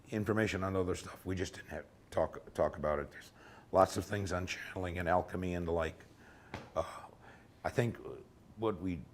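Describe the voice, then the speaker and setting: A man's voice: lowish voice, darkish tone. A man giving an informal technical lecture at a workshop while losing his voice, often checking in with "okay?". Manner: conversational, often ends points with "okay?". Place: lecture room.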